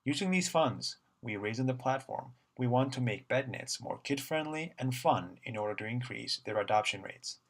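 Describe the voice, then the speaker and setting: A young man in a student pitch video, reading a scripted explanation in a steady voice. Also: moderate pace, hardly any pauses. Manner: steady, read-aloud delivery.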